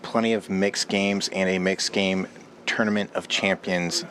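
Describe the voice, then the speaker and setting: A man's voice: deep voice, steady tone, a little slow, fairly bright tone. A man co-hosting a poker stream, reading out event details in a level, matter-of-fact way. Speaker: poker co-commentator. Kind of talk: commentary and event promotion.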